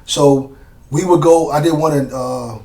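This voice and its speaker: A man telling stories in a casual interview, relaxed and slangy, with plenty of fillers and asides. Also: on the high side, a little slow, vocal fry, recorded from a distance. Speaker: former Green Beret guest. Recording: roomy sound from off mic.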